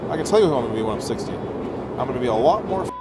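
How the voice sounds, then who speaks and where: Self-assured fifty-year-old man over loud music. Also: mid-range voice, very animated, rapid-fire, rough recording. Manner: emphatic, self-assured, repetitive phrasing. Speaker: wealthy jet owner being interviewed. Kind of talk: motivational interview talk. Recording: loud music over vehicle cabin sound.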